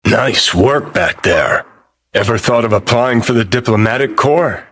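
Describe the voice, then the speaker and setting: An actor playing a cynical, sardonic middle-aged rebel rocker in a video game, with a gravelly, husky, deadpan drawl. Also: male voice, medium pitch, a little slow. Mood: sardonic, cool. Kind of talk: video game character dialogue.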